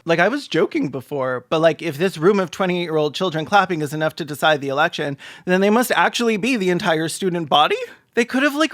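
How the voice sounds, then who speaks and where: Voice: medium pitch, a bit bright, no echo, clean studio sound. A sarcastic host giving mocking, theatrical comedic commentary in a reaction show.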